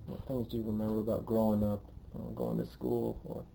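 A man running an oral history interview, asking questions in a halting way and often restarting them. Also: lowish voice, sing-song, creaky, mellow, low-quality recording.

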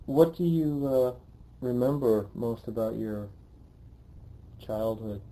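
A man running an oral history interview, asking questions in a halting way and often restarting them. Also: mid-range voice, dark tone.

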